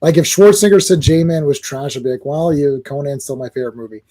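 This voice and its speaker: Guy co-hosting a chatty podcast, kinda high mellow voice, rambles and restarts a lot. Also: fast talker. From Croatian family, born locally. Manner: animated, rambling, many restarts. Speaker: podcast co-host. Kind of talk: casual chat.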